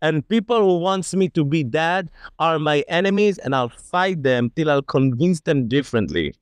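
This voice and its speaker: A man's voice: on the high side, animated, moderate pace, clean tone, dry recording. A man guest on a discussion podcast, speaking bluntly and with passion. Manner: blunt and impassioned.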